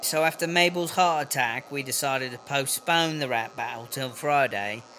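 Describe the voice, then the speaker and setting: A man as a bright, chatty, cocky prison inmate. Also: medium pitch, moderate pace, slight background noise. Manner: rambling, slangy, British-style expressions. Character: cocky, chatty, foul-mouthed prison inmate being interviewed. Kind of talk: comedy audio drama mock interview.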